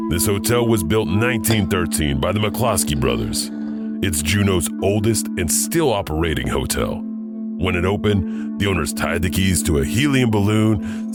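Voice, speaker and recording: An actor playing a chatty, eerie hotel janitor who hosts horror tales, over a music bed. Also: male voice, low-pitched. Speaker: host narrator. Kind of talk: audio drama narration.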